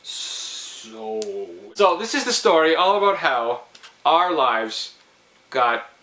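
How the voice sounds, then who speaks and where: A young man hosting a vlog, telling a story casually in a jokey, animated, teasing way. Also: fairly high pitch, slow pace, poor audio. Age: young adult.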